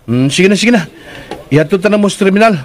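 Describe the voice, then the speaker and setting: High-voiced dutiful young son. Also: male voice, lively intonation, quick pace. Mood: easygoing, grateful. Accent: Filipino, Cebuano. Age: young adult. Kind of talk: Philippine radio drama acting. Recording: noisy track, vehicle and ambience behind.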